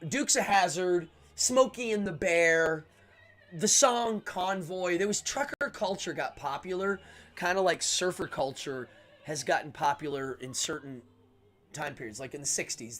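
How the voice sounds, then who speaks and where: A middle-aged American man chatting casually as host of a live stream, rambling and interrupting himself with excitable exclamations. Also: on the high side. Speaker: livestream show host.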